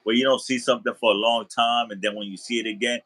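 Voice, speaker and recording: A man from the Bronx hosting a casual video chat from his living room sofa. He talks in a relaxed way, repeats and restarts his phrases, and laughs easily. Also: medium pitch, fast talker, slightly rough. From the Bronx, New York. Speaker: host.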